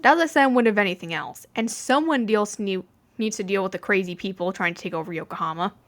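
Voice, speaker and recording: Stern young male mafioso acted by a woman, dark tone, firm, smug, protective, fan-fiction audio story. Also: mid-range voice, fast talker, close to an everyday voice. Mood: scoffing.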